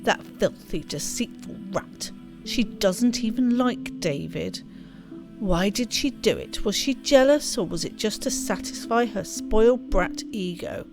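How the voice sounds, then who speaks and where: A woman's voice: medium pitch, animated, a little slow. A rebellious eighteen-year-old girl from a mystery game, played by a woman reading aloud, wounded and betrayed. She talks to herself bitterly and blames herself in a mid-range voice. Faint music sits underneath. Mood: bitter, hurt. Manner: bitter, dramatic self-talk.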